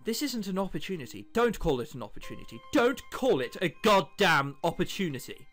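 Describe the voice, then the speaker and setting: A man's voice: sits high, big pitch swings, fairly quick, a bit bright, soft background music. A man reading the part of a bitter, sardonic teenage boy in a visual novel. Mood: indignant. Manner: indignant, brooding, sardonic. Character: bitter, sarcastic teenage boy with a heart condition.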